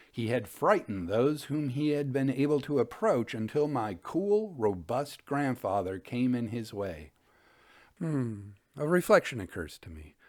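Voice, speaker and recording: A man hosting a storytelling show, reading old ghost tales and commenting on them in a measured, literary way. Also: mid-range voice, a little mellow. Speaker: podcast host and storyteller.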